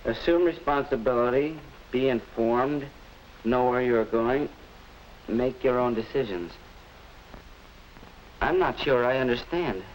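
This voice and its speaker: An actor playing an earnest, polite young student who thinks aloud, in a scripted 1950s educational film with a hissy vintage soundtrack. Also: male voice, mid-range voice, a little slow, long pauses, lightly acted, low-quality recording. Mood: thoughtful. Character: earnest teenage male student, polite and reflective.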